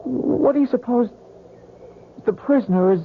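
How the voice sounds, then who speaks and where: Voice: medium pitch, normal speed, vocal fry, noisy background, lightly acted. A performer playing a timid, squeamish young boy soldier, hesitant and anxious, in an old radio drama. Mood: nervous. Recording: tinny old radio broadcast.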